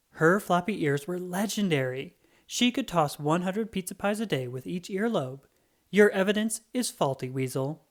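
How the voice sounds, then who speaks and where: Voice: mid-range voice, lightly acted. A narrator voicing a skeptical female know-it-all in a storybook, emphatic, dismissive and lecturing.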